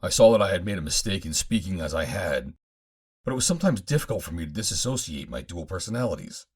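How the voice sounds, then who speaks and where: Husky, animated male audiobook narrator. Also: low-pitched, quick pace. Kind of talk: dramatic first-person audiobook narration of pulp adventure fiction.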